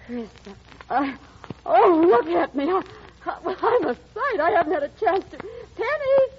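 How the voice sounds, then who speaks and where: A woman's voice: extremely high pitch, sing-song, measured pace, background noise, full character voice. An actress playing a wistful, easily flustered middle-aged mother in a classic radio drama. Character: mature widowed mother, flustered, wistful and guarded. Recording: dull lo-fi old radio recording.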